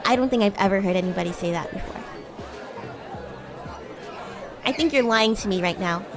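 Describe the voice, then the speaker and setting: A woman's voice: medium pitch, very fast, a crowd in the background, voice pushed down, natural voice. A woman voicing a bratty, cute demon classmate in an improvised VTuber roleplay, teasing and coy, easily flustered into stammering. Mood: mock offended, teasing. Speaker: VTuber streamer. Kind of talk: improvised VTuber roleplay, casual chat with viewers.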